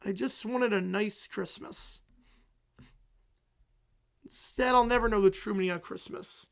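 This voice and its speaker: A man voicing a group of entitled, whining cartoon kids who complain dramatically and shout. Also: high voice, steady tone, unhurried, gravelly. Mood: whiny.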